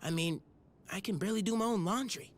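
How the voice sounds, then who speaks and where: An actress playing a wry, self-doubting young heroine whose manner is dry, sardonic and self-deprecating. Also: female voice, medium pitch, fast talker, natural voice. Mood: glum.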